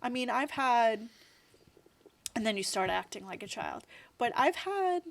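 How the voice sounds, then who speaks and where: A woman's voice: a little high, big pitch swings, unhurried, gravelly. A woman in her thirties, a guest in a casual interview, talking in a chatty, rambling way full of "like" and "you know". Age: 30s.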